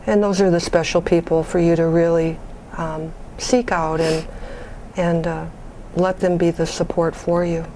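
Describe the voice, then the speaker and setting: A woman playing a patient bereavement counselor on a support call, gentle, measured and reassuring in the way she talks. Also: fairly low pitch, level tone, a little hiss or hum, natural voice, rough recording. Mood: gentle, probing. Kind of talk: role-played counseling phone conversation.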